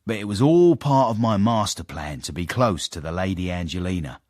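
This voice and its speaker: An actor playing a proud, self-important Victorian gentleman with an English accent, narrating and speaking formally in a period radio drama. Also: low voice, lively intonation, normal speed, no echo, clean studio sound. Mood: wry, self-satisfied.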